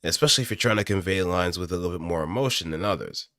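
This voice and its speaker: A man's voice: deep voice, rapid-fire, a bit bright, dry recording. A man talking casually and informally on his own into a close studio mic, rambling a little as an amateur voice actor. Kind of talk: casual solo chat while practicing voice lines. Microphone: close studio microphone through an audio interface.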